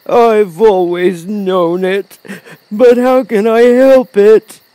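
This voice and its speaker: A man voicing a big cowardly lion from a storybook, ashamed and easily astonished, with a drawn-out, halting delivery. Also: sits high, lively intonation, dark tone, no room sound, voice pushed up, rough recording. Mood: ashamed, wailing. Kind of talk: storybook character voice.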